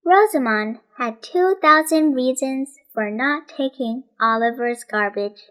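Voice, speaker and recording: A woman reading a children's storybook aloud as scripted narration, in a measured, deliberate way. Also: sits high, a bit bright, poor audio. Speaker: children's audiobook reader.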